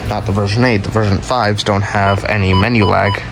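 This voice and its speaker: A man's voice: on the low side, steady tone, a little fast, loud music behind the voice. A man giving a casual, unscripted show-and-tell as a hobbyist, rambling offhandedly and correcting himself as he goes. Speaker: hobbyist collector showing weather radios.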